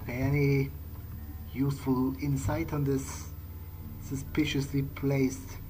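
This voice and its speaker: Exasperated, nervy vampire voiced by a let's player for an in-character game playthrough; unhurried, halting, muttering delivery. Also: mid-range voice, little pitch movement, dark tone, a little hiss or hum, lightly acted. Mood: sardonic, creeped out. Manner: hesitant, muttering, repeats words, mildly swearing. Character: jittery, exasperated young vampire exploring a creepy mansion. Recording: game soundtrack music behind the voice.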